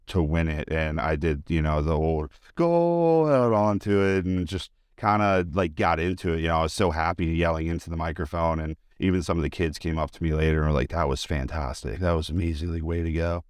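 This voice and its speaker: A man's voice: very deep voice, a little mellow, clean tone, dry recording, studio quality. A man giving a casual, chatty interview, telling anecdotes in an informal, enthusiastic way. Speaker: interview guest, high school teacher and sports announcer.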